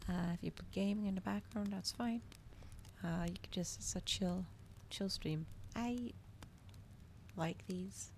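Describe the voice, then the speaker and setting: Soft, creaky-voiced woman streaming ASMR. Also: medium pitch, unhurried, long pauses. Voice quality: soft, gentle voice, often slipping into whisper. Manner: casual, hesitant, chatting to viewers. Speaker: streamer hosting a live reading. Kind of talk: soft-spoken ASMR livestream chat. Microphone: regular microphone, not ASMR-specific. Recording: audible background noise, fireplace ambience. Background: crackling fireplace ambience.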